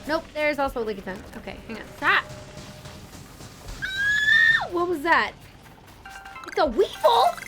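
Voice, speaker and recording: A woman streaming a game live, chatting with viewers and reacting excitedly, her voice worn out and tired. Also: very high-pitched, unhurried, pauses often, over music. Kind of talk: casual live-stream commentary.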